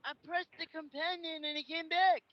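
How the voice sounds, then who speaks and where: A woman making a phone call as a customer, speaking in an insistent, excitable way. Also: very high-pitched, normal speed, no room sound. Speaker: caller, online game customer. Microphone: telephone line. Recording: muffled lo-fi phone call audio.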